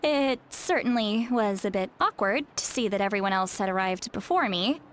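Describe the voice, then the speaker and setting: A woman's voice: medium pitch, animated, moderate pace, a bit bright, natural voice. An actress playing a haughty, teasing, young-looking elder woman in a video game, with theatrical, sardonic phrasing. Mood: composed, defensive.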